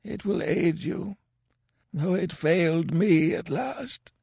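A man voicing a dying, mangled male sorcerer who raves for vengeance in a racking, inhuman croak, gasping and drooling. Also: high-pitched, takes it slowly, a little mellow, no echo, studio quality, voice pushed up, exaggerated voice. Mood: fading. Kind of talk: audiobook character voice.

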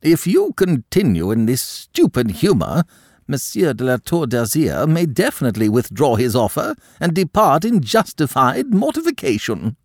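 A man voicing a haughty, prudish aristocratic countess who scolds in a high, shocked voice. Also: huge pitch swings, moderate pace, darkish tone, no echo, studio quality. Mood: indignant.

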